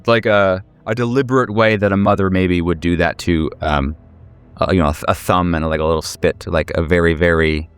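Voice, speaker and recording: A man working as game master, improvising horror narration in a halting, conversational way that circles back over words. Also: sits low, clear, resonant tone, dry recording. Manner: halting, searching delivery with repeated words.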